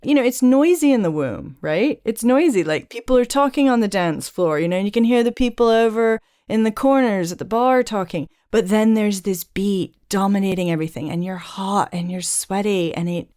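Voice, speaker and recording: A woman's voice: medium pitch, expressive, moderate pace, hardly any pauses, darkish tone. A woman hosting a podcast interview, talking earnestly and thoughtfully, building her points in long connected runs. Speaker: podcast host. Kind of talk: reflective conversational interview.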